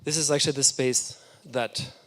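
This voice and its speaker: A man's voice: mid-range voice, fairly even intonation, normal speed, a bit bright. Enthusiastic German-native man lecturing. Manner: enthusiastic, reflective storytelling. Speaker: guest lecturer. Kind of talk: architecture lecture.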